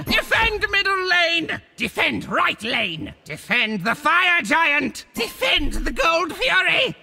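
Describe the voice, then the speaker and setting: A man's voice: very high-pitched, gravelly, sharp tone, slight background noise, heavily acted. A man voicing a boastful, theatrical cartoon monster villain for a video game, with a hammy, swooping delivery.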